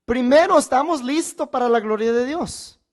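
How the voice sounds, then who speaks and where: A man delivering a Bible teaching sermon as a preacher, phrasing things deliberately and emphatically, with rhetorical questions and repetition. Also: very high voice.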